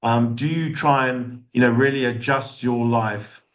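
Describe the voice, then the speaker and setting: A middle-aged man with a South African accent, giving an online talk over a webcam from an office, halting with many fillers and restarts. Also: medium pitch, steady tone, lo-fi sound. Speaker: speaker. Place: office with glass walls. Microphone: video call webcam.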